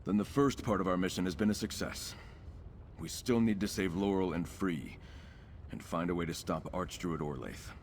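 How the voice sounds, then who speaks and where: An actor playing a solemn, resolute male ally in a fantasy video game, speaking in an earnest, formal and measured way. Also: deep voice, a little hiss or hum. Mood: grave, earnest. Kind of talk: scripted video game dialogue.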